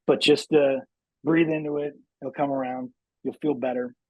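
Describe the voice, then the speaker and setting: A man's voice: medium pitch, flat delivery, moderate pace, a little mellow, no echo, lightly acted, rough recording. A man voicing a cocky, self-important action movie star from a video game, talking in a smug, soothing, condescending way.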